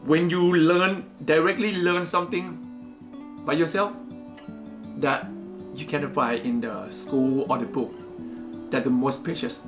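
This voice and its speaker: A speaker from Thailand with as a gives interview answers in hesitant English, pausing to find words and leaning on "you know". The voice sits at an ordinary middle pitch. A music bed plays quietly underneath. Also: steady tone. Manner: halting, searching for words, frequent "you know".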